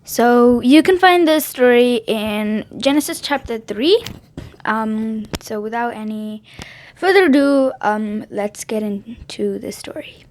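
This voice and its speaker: A woman reading a Bible story aloud for children on her channel, gently, with small slips and restarts. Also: on the high side, animated, slow.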